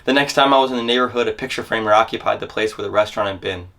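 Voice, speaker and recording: A man's voice: lowish voice, rapid-fire, vocal fry, a bit bright. Man performing a dramatic first-person monologue. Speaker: dramatic reader of a memoir.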